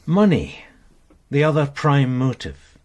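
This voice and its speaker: An actor playing an earnest, weary, plain-spoken police sergeant in a radio crime drama. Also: male voice, medium pitch, very animated, slow, a little mellow. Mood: earnest, dogged.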